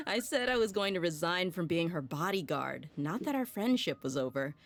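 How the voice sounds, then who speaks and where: A woman's voice: fairly low pitch, lively intonation, moderate pace. An actress playing a tough, easygoing young mercenary woman in a video game, frank, casual and blunt. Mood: amused, relaxed. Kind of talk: video game dialogue acting. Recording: faint music behind the voice.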